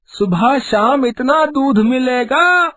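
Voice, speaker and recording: A greedy village trader from a cartoon moral tale, acted by a man. He is domineering and boastful, stretching his words slowly in a strikingly high-pitched voice. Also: expressive, sharp tone, full character voice. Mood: boastful, dismissive. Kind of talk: animated children's cartoon story dialogue.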